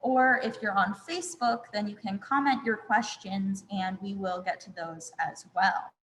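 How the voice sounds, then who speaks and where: A woman's voice: medium pitch, fairly even intonation, moderate pace, fairly bright tone, big-room sound, a little hiss or hum, poor audio. A woman hosting a web chat interview over a video call, chatty and friendly, with frequent ums. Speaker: host.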